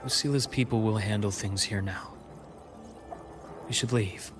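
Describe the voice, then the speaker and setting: Measured, reassuring male comrade played by an actor over music. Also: on the low side, steady tone, lightly acted. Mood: serious. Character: calm, wise male comrade, reassuring old friend of the hero. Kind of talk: video game story dialogue acting. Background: game background music.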